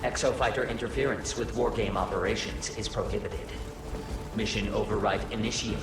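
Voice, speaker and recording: A man as a cold, echoing, low-voiced game AI overseer. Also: steady tone, brisk, close to an everyday voice. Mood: cold, commanding. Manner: formal, clipped, detached and commanding. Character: cold deranged AI overseer of war games. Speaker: announcer of combat objectives and alerts. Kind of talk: video game scripted announcements. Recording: echoing voice under loud game music. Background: loud music and mechanical game sounds.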